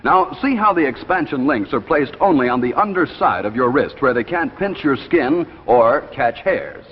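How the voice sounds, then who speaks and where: Animated 1950s American announcer, man, reverberant old recording. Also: mid-range voice, moderate pace. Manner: polished, emphatic, addressing viewers directly. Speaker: commercial announcer and presenter. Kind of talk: 1950s live television sales pitch. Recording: dull, hissy 1950s broadcast, long reverb.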